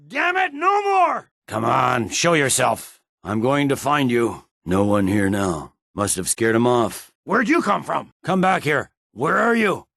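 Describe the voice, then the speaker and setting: A man playing a stern, proud, impatient middle-aged Imperial general in a video game, speaking with clipped, deliberate military authority. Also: mid-range voice, creaky, fairly bright tone, dry recording, studio quality, full character voice. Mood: combative, then wary, menacing. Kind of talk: scripted video game voice acting.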